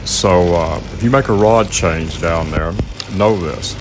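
A man's voice: sits low, takes it slowly, background noise. A man teaching welding in a plainspoken, folksy voice-over. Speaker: welding instructor. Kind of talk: instructional voice-over narration.

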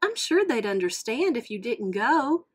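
A woman voicing a calm, sensible female car in a storybook read-aloud. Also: fairly high pitch, measured pace, slightly rough, full character voice. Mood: gentle.

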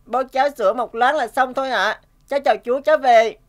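A woman's voice: high-pitched, fairly quick, close to an everyday voice. A woman voicing a polite, earnest and slightly wary young schoolboy in a cartoon. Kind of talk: cartoon voice acting.

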